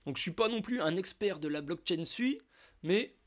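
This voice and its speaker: A man's voice: high-pitched, animated, normal speed. A French man with a slight cold, explaining a crypto wallet in a casual, chatty screen-share tutorial, talking straight to the viewer. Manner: informal, addresses viewer as "tu", chatty asides. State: has a cold. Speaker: crypto YouTuber.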